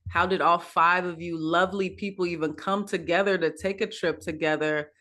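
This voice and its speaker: A woman's voice: on the low side, steady tone, moderate pace, fairly bright tone. A woman hosting a panel over a video call, upbeat and starting her sentences with "now". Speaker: host.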